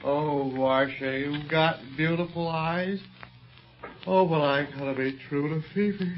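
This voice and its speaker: A male actor playing a blustering, excitable comic sidekick in a 1930s radio serial, full of indignant protest. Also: on the high side, unhurried, natural voice. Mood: dreamy, then startled. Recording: muffled 1930s radio recording.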